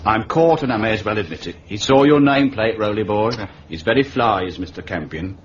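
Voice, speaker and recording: An actor playing a wily middle-aged London undertaker with a Cockney accent, ingratiating, wordy and deferential, in a radio detective drama. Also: male voice, on the low side, animated, a little fast, lightly acted. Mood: sly, confiding. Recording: muffled, hissy radio recording, distant mic.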